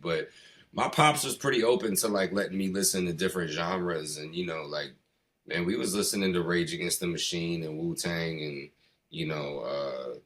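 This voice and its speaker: A man's voice: deep voice, a bit bright. A middle-aged American man from the East Coast, a hip hop artist being interviewed, talking in a loose, slangy, rambling way. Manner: slangy, rambling, peppered with "you know" and "man". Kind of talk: casual conversation.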